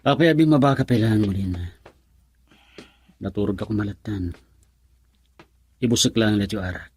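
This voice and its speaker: An actor playing a brooding, wounded husband in love in an Ilocano radio drama, earnest and melodramatic. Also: male voice, lowish voice, moderate pace, breathy edge. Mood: hurt, bitter.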